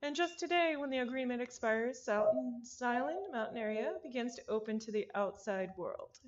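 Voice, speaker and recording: A woman's voice: on the high side, lively intonation, moderate pace, muffled recording. A woman reading a visual novel aloud in an informal way, breaking off for casual asides and apologies. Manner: informal, self-interrupting, apologetic about name pronunciation. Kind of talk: casual read-aloud of a game script with ad-libbed asides.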